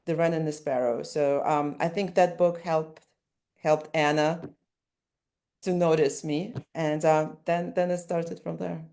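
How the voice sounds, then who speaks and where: Russian-native woman, low voice, dark mellow tone, thoughtful interview answers, restarts sentences. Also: steady tone, a little slow, creaky. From Ukraine. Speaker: author-illustrator guest.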